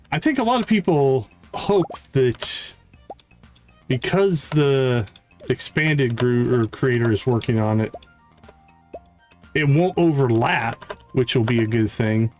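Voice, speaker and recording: A man casually commentating his live gameplay, talking to himself in short remarks and repeating words as he thinks. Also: mid-range voice. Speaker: game streamer. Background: music.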